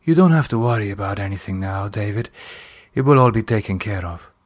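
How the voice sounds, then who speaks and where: A man reads as a composed woman in a gothic audiobook. She speaks in a calm, businesslike way, reassuring and matter-of-fact. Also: lowish voice, brisk.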